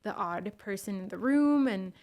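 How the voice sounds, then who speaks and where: Young woman from California, podcast guest; friendly, chatty, filler-heavy, mid pitch. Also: animated, moderate pace, a little mellow. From California, USA. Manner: friendly, chatty, many ums and uhs. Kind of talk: casual interview chat.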